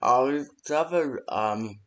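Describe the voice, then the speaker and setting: Halting, slow-talking man with rambling delivery. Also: medium pitch, a bit bright. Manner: halting, hesitant, rambling with many ums; casual, unpolished delivery. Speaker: phone-call guide in a fan horror game. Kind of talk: improvised game phone-call voice lines.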